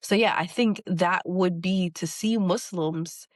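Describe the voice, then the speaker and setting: A woman's voice: on the low side, slow pace, dry recording, studio quality. A middle-aged woman, a poet speaking in an interview, thoughtful and earnest, often restarting and repeating words as she thinks. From New York. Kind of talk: reflective interview conversation.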